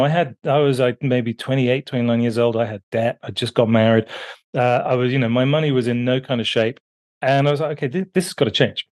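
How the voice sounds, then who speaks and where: A British man in his fifties, a guest author on a remote call, telling his story in a lively way that jumps up in pitch for emphasis. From Britain. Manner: animated, pitch jumping up on emphasis, frequent restarts. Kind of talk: conversational interview storytelling.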